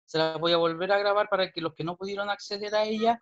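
A man's voice: sits high, steady tone, fast talker, fairly bright tone, muffled recording. A man in his forties with a Chilean accent, a history teacher explaining patiently over a video call, often restarting his sentences. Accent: Chilean Spanish. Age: 40s. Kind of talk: online class wrap-up.

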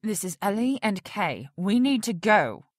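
A woman's voice: medium pitch, very animated, unhurried, no room sound, studio quality. An actress in an audio drama playing an indignant, strong-willed woman who works at a research facility. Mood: brisk.

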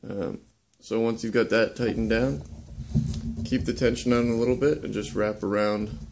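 A young man casually demonstrating how to lash boat joints, talking in a relaxed, halting way with many ums and uhs. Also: on the low side, vocal fry, noisy background. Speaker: woodworking instructor. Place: indoor workshop.

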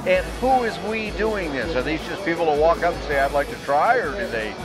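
A man's voice: a little high, expressive, fairly quick. A man doing a casual on-site interview, conversational and inquisitive, often acting out hypothetical questions. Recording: music under the voice.

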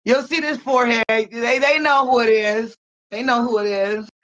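A woman's voice: on the high side, steady tone, moderate pace, a bit bright, lo-fi sound. A woman in her forties calling in on a video call, chatting casually in a giggly, rambling way. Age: 40s. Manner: giggly, rambling, exclaims often. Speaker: caller on a dating show. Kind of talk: casual chat.

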